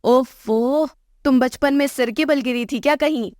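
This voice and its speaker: A woman's voice: high-pitched, a little slow, darkish tone, no room sound, clean studio sound. A woman voicing a haughty, scolding middle-aged mother-in-law in a cartoon moral story. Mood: angry nagging. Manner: haughty, nagging, insulting.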